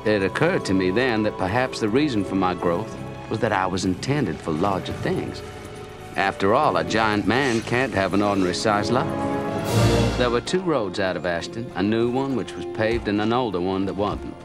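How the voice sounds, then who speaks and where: A man's voice: lowish voice, expressive, moderate pace, natural voice. An actor playing a bold, charming young man who narrates his own tall tale with wry earnestness. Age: young adult. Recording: film soundtrack with music.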